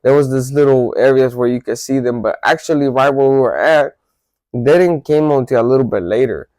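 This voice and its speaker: A man's voice: mid-range voice, a little fast, a little mellow, no room sound. A man from El Salvador, telling his life story to his viewers in a loose, conversational way with false starts and self-corrections. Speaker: vlogger. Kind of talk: casual storytelling to camera.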